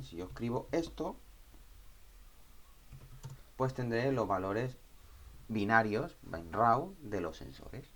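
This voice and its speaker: A man giving an informal software tutorial, explaining step by step, repeating himself and checking in with short tag questions. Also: fairly low pitch. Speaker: tutorial instructor.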